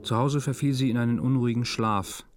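An actor playing a detached male narrator, reading a literary radio drama in a deadpan, meticulous, measured manner. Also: medium pitch, slight background noise, natural voice. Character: detached male first-person narrator of a melancholy story.

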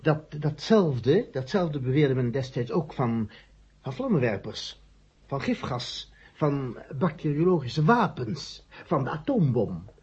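Stammering, husky, worried man. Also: mid-range voice, sing-song, slow pace, a little mellow, full character voice. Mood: agitated objecting. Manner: stammering, repeats words. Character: hesitant, worried male committee member, anxiously objecting. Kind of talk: 1970 Dutch radio drama acting. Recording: muffled old 1970 radio recording.

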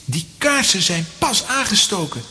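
A woman's voice: medium pitch, sing-song, moderate pace, pushed and bright. An actress playing a sensible, protective and sceptical young boy with a Flemish accent in a children's audio drama.

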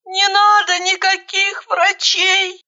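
Woman acting a high, trembling, pleading injured girl. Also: little pitch movement, slow pace, sharp tone, highs cut off, heavily acted.

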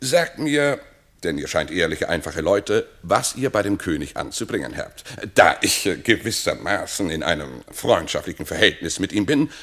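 Gravelly, swaggering deep-voiced rogue courtier. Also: male voice, fairly bright tone, exaggerated voice. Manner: pompous, hectoring, interrogating. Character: swaggering rogue posing as a haughty royal courtier. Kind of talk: theatrical German radio play acting.

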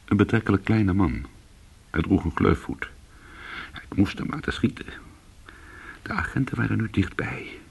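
An actor playing a weary, hard-boiled former cat burglar, telling his story in an intimate, brooding and deliberate way in a radio play. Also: lowish voice, big pitch swings, creaky, slightly rough, whispered, exaggerated voice. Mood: agitated, tense.

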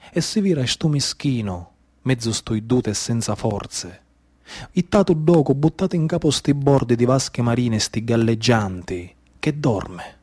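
Slow, theatrical Sicilian man storyteller. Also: medium pitch, darkish tone, slightly rough. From Sicily, Italy. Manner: declamatory, incantatory, deliberate phrasing with repetitions. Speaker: stage storyteller, actor. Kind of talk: theatrical monologue, dramatic storytelling.

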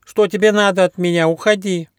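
Man doing a high, whiny little platypus. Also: moderate pace, no room sound, studio quality, natural voice. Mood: frightened. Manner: whining, high-pitched, exclaiming. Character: small, timid young male platypus, whiny, naive.

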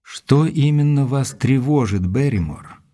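An actor playing an earnest gentleman doctor in an audio drama, narrating and questioning in a measured, serious, deliberate way. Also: medium pitch, expressive, natural voice. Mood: probing, earnest.

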